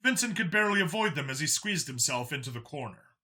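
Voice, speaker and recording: A man's voice: a little high, expressive, fast talker, bright, forceful tone. A man reading science fiction as a dramatic scripted narration. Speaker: story narrator.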